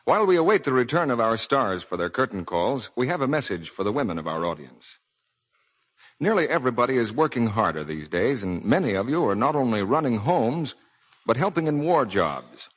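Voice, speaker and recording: Animated 1940s American radio announcer, slightly husky. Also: male voice, mid-range voice, a little fast. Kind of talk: 1940s radio announcing, scripted commercial and credits. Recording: dull vintage 1940s radio broadcast.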